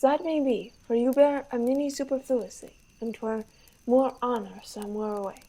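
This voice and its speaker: Young woman as high-voiced, boastful French prince. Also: fairly even intonation, moderate pace, warm tone, low-quality recording. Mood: impatient, bragging. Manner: grandiose, declamatory. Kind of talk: radio theater Shakespeare acting.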